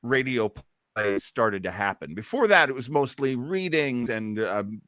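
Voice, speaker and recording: A man hosts an informal live discussion with a lively, swooping delivery. He is jokey and self-deprecating in his manner. The sound is dry, with no room around it. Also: medium pitch, a little slow, no echo. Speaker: host of a listening party. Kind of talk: casual live group commentary.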